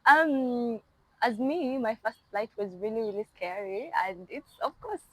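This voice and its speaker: A woman's voice: on the high side, expressive, unhurried, a bit bright, low-quality recording. A woman hosting an informal, chatty interview, often chiming in as she listens. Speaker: host interviewer.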